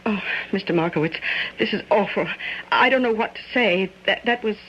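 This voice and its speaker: A woman's voice: medium pitch, normal speed, a bit bright, breathy edge. An actress playing a caring, earnest woman in a radio drama, speaking in a solicitous manner. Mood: distressed. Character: caring, anxious woman looking after an older gentleman. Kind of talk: old-time radio drama acting. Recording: muffled radio recording, faint noise.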